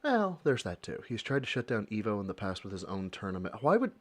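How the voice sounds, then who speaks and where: Male reaction host, animated swings in pitch, crude banter. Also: quick pace. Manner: profane, joking, cutting in over the clip. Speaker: livestream host. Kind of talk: casual reaction commentary.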